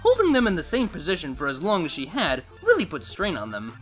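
A man's voice: high voice, huge pitch swings, a little fast, over loud music. A man narrating fan fiction as a story reader and channel host.

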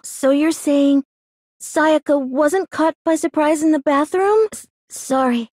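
A shy young game character with a high voice, recorded dry and close. The youngster is gentle and earnest, reasoning things out hesitantly. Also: female voice, dry recording. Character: timid, gentle, earnest youngster puzzling out a mystery.

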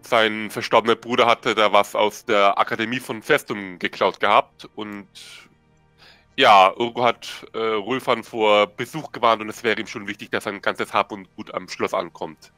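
A man's voice: on the low side, fairly even intonation, normal speed, pushed and bright, no room sound, faint music behind. A man in a tabletop role-playing game, adding to a casual recap of the session.

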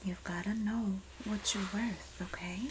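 A woman playing a sassy, supportive Jersey girl in a soft-spoken, whispered ASMR roleplay, with a New Jersey accent and a gossipy, soothing manner. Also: mid-range voice, background noise, natural voice. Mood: soothing, reassuring. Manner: gossipy, flattering, soothing, drawn-out delivery. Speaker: ASMR performer.

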